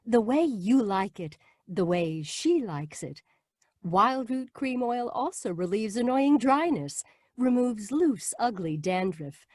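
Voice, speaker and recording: A woman's voice: fairly high pitch, a little mellow, no echo, clean studio sound. A woman reading a scripted commercial in 1940s radio style. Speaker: commercial announcer.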